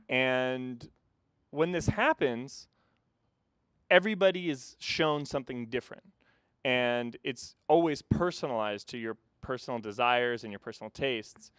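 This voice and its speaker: Smooth-voiced, measured man filmmaker. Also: medium pitch, a bit bright, no room sound. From California. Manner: measured, explanatory, some fillers. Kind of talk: casual interview answers.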